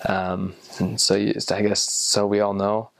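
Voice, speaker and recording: A young man and former missionary talking casually to the camera, rambling and halting with lots of ums. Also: on the low side, level tone, creaky, no room sound. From United States. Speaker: returned missionary.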